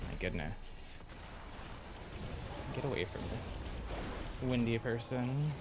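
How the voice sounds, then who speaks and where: A man's voice: mid-range voice, expressive, takes it slowly. A man giving casual, self-deprecating live commentary as he streams a video game. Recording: clearly noisy game audio behind voice. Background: video game sounds.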